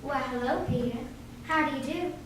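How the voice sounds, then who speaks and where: A woman's voice: sits high, moderate pace, close to an everyday voice. A woman playing a poised, gracious lady with polite, formal speech in a radio drama. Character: Miriam, poised, gracious, beautiful former schoolmate woman. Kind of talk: stage radio drama acting. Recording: very echoey hall, distant mic, clear noise.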